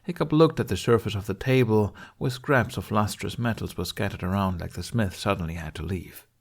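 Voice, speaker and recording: A man's voice: lowish voice, brisk, creaky. A man reading scripted story narration as an audiobook narrator.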